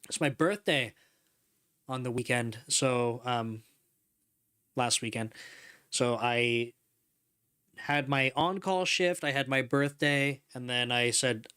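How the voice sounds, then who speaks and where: A man in his mid thirties talks casually to his livestream chat into a desk microphone in a home room. His voice is smooth and clean, sitting at a middle pitch. He rambles easily and laughs often. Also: slow, long pauses, bright, forceful tone. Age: 30s. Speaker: livestream host. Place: home studio room with couch and neon lights. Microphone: desk microphone in front.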